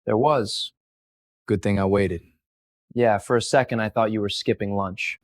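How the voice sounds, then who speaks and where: A man's voice: lowish voice, fairly even intonation, measured pace, no echo, close to an everyday voice. A man voicing characters in an audio drama, trading dry, teasing lines.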